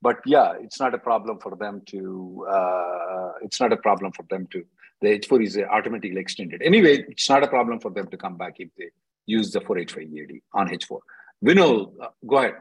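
A man's voice: fairly low pitch, a little fast, husky, poor audio. A man hosting a call-in as an attorney, reading out questions and answering informally in a blunt, emphatic way, often repeating himself.

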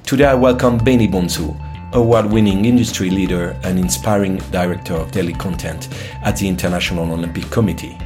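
A man's voice: deep voice, steady tone, brisk, over music. A man hosting a podcast, introducing his guest formally and asking polite questions. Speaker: podcast host. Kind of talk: scripted intro and interview questions.

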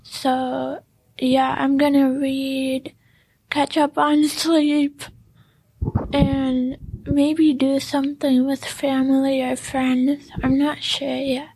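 A young woman on a podcast team, speaking in a careful, measured way as she reads or chats. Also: high voice, little pitch movement, a little mellow, rough recording. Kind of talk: reading facts and casual chat.

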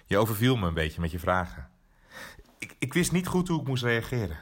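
An actor playing an awkward, guarded newsroom colleague in a naturalistic audio drama, halting and apologetic, with a slightly husky voice. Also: male voice, on the low side, big pitch swings. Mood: apologetic, uneasy.

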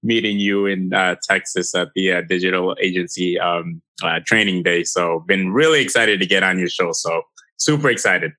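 A man's voice: deep voice, steady tone, moderate pace, pushed and bright, no room sound, low-quality recording. A man from Haiti whose first languages are French and Haitian Creole, telling stories chattily as a podcast guest, with many fillers. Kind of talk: casual interview storytelling.

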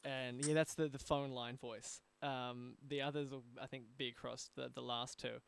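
A man's voice: medium pitch, flat delivery, moderate pace, vocal fry, clean tone. A man hosting a conversational podcast interview. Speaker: interview host.

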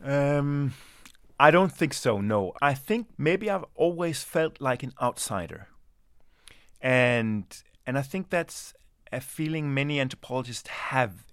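A man from Denmark, speaking as an anthropologist, who answers questions slowly and thoughtfully, often restarting his sentences. His voice sits at an ordinary middle pitch. The recording is dry and close, with no room sound. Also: lively intonation, a bit bright, smooth, dry recording. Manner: thoughtful, hesitant, many restarts. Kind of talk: reflective interview answers.

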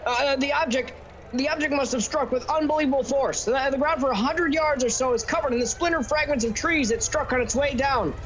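Awestruck, halting on-scene correspondent, high voice, old radio spoof, slight background noise. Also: male voice, fairly bright tone, highs cut off. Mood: flustered, awed. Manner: stammering, halting. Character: flustered, awestruck male on-scene radio reporter. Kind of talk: 1930s radio play spoof acting.

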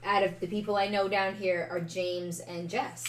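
A woman from New York State, chatting excitedly on a podcast and jumping between tangents. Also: mid-range voice, moderate pace, reverberant, very noisy. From New York State, outside the city. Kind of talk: casual chat.

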